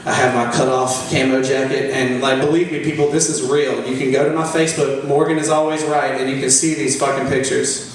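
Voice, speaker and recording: A man's voice: medium pitch, level tone, brisk, few pauses. A man, telling profane, boastful stand-up stories in a mock-epic way. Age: 20s. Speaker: stand-up comedian. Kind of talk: stand-up storytelling. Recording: echoey room from far off, faint noise.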